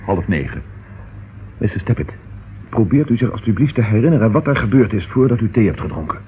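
An actor playing a sceptical, commanding police inspector in a radio play, with a wry, authoritative manner. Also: male voice, on the low side, sing-song, fairly quick. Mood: businesslike. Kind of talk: old radio play acting. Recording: noisy, phone-like old radio recording.

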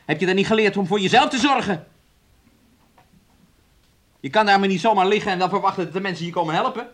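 A man's voice: sits high, quick pace, fairly bright tone. An actor playing a domineering, self-absorbed man in a radio play, nagging and coaxing his sick lover and calling himself by name. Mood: reproachful, lecturing. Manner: talks of himself in the third person, nagging and coaxing. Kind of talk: Dutch radio play acting.

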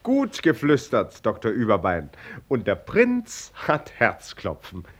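An actor playing a wry storyteller, narrating a radio play about royalty with ironic, mock-ceremonious relish. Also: male voice, mid-range voice, wildly swooping pitch, takes it slowly, exaggerated voice. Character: wry, mocking male storyteller of a royal tale.

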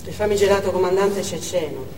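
A woman's voice: on the low side, a little fast, darkish tone, recorded from a distance, lightly acted. An actress playing an anxious Russian wife in a stage monologue, telling her story with explanatory asides. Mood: worried. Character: anxious Russian wife recounting her husband's dangerous trip. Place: theatre stage, distant microphone. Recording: noisy, echoey theatre hall from afar.

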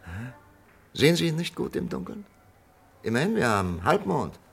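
Insistent, probing doctor, gravelly and animated. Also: male voice, mid-range voice, normal speed, exaggerated voice. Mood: probing, controlled. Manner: insistent, questioning. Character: persistent, probing male doctor challenging a death sentence. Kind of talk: German radio crime drama acting. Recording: faintly noisy vintage radio drama recording.

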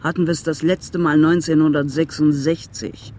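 An actor playing a chatty, slightly put-upon small-town bookseller in a radio drama. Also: male voice, a little high, level tone, normal speed, darkish tone, slight background noise, close to an everyday voice. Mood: obliging, dry.

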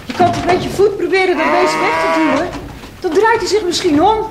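A woman's voice: very high voice, fairly quick, creaky. A woman playing a fretful, exclaiming mayor's wife in a Dutch radio play, anxious and pleading. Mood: frightened, flustered. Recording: echoey room, clicky noisy radio recording.

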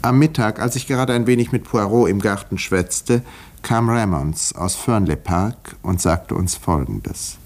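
An actor playing a composed, educated doctor in a 1950s German radio mystery, speaking in a measured, dry and precise way. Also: male voice, lowish voice, animated, a little mellow. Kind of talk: 1950s German radio drama narration and dialogue.

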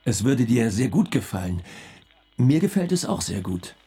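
An actress playing a spouse on a long-distance call in a radio drama, insistently repeating gentle persuasion. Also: female voice, a bass voice, big pitch swings, moderate pace, breathy edge, full character voice. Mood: coaxing.

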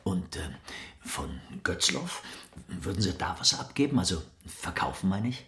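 A visiting West Berlin curator in a radio drama. The man speaks in a slightly low, conversational voice, chatty and curious, with a probing manner. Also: big pitch swings, moderate pace, fairly bright tone.

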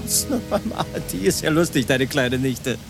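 Higher-pitched grumbling taxi driver. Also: male voice, big pitch swings, a little slow, creaky, music in the background, exaggerated voice. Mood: amused. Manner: mocking, grumbling, contrary. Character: grumbling, teasing taxi driver, chummy and contrary. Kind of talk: German radio play dialogue.

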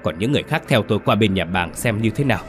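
A man's voice: lowish voice, expressive, fast, faint music behind, close to an everyday voice. A man playing an older village uncle, alarmed and trembling as he gives orders in a horror story. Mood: shaken, despairing. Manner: trembling, exclaiming.

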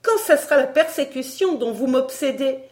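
A woman's voice: fairly high pitch, lively intonation, measured pace, a little mellow, voice pushed up. A woman voicing a sour, indignant, paranoid governess in a storybook, with a theatrical, scolding manner.

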